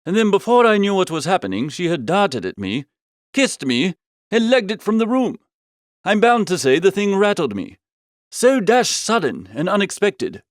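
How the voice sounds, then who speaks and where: Man playing an animated, flustered young gentleman. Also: high-pitched, moderate pace, dry recording, studio quality. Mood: flustered, amiable. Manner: chatty, old-fashioned posh slang. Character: amiable, flustered upper-class young gentleman telling his tale. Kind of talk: comic first-person audiobook narration.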